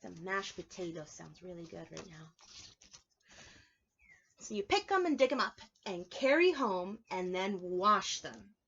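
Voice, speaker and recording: A woman's voice: mid-range voice, big pitch swings, a little slow, a bit bright, dull audio, a little hiss or hum. A woman preschool teacher reading a picture book aloud to young children, explaining it simply and asking them questions. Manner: instructive, simple phrasing, asks children questions.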